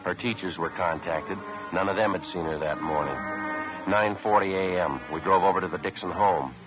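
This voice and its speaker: Deep-voiced deadpan actor as a police detective. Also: male voice, moderate pace, music in the background, close to an everyday voice. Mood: sober, businesslike. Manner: deadpan, matter-of-fact. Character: stoic male police detective, terse and methodical. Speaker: narrator and lead actor. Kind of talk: 1950s radio police drama, clipped narration. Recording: muffled vintage radio recording.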